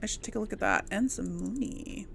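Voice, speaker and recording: A woman's voice: medium pitch, brisk, creaky, a little hiss or hum. A woman chatting casually through a game playthrough, thinking aloud to herself as she plays. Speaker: gamer hosting a role-play playthrough.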